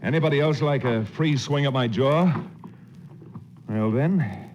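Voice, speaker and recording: A confident, wry cowboy hero from a 1940s radio western, played by a male actor. His mid-pitched voice is folksy and dry, on a muffled recording with slight noise. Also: a little slow, close to an everyday voice. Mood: cool challenge.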